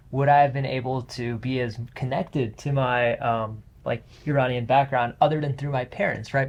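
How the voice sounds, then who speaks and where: A young man with a mid-range voice talks through an idea on a student discussion show. He reasons out loud, casual and unpolished, filling his pauses with small words. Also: mid-range voice, vocal fry. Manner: reflective, many "like" fillers. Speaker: student guest.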